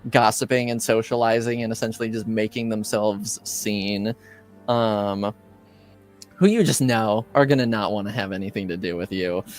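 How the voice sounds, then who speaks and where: A man narrating as game master in an improvised tabletop game, speaking in a hesitant, halting way. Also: on the low side, soft background music.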